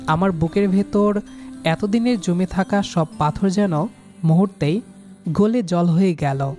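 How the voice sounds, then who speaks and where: A man's voice: high-pitched, unhurried, dark tone. Man narrating an emotional romantic story. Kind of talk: dramatic first-person romantic story narration. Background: background music.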